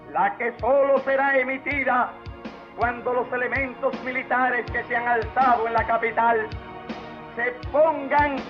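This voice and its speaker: Declaiming commander on archival radio. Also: male voice, very high-pitched. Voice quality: declamatory, shouting slogans. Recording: muffled archival radio with music.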